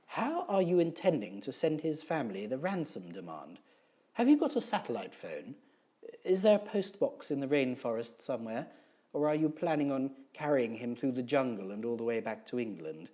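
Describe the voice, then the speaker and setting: A reader voicing a polite, earnest man who questions things with calm curiosity. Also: medium pitch, moderate pace, lo-fi sound, close to an everyday voice. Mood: puzzled, reasoning.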